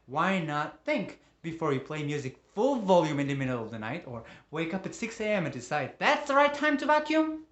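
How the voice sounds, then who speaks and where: A man performing a dramatic monologue as a lonely, embittered father, emotive and moving between hushed and agitated. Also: mid-range voice, lively intonation, moderate pace, natural voice. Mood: agitated, indignant. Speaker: monologue actor. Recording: roomy, echoing room.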